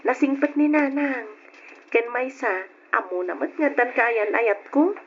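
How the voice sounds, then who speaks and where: A woman's voice: high-pitched, unhurried, highs cut off, a little hiss or hum. An actress with an Ilocano accent playing a sweet, grateful young student girlfriend in an old radio drama. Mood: coaxing.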